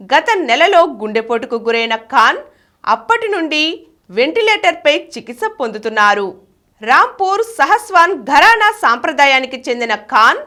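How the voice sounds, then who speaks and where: A woman's voice: high voice, bright, forceful tone. A woman reading scripted news reports in a formal announcing manner. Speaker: news reader.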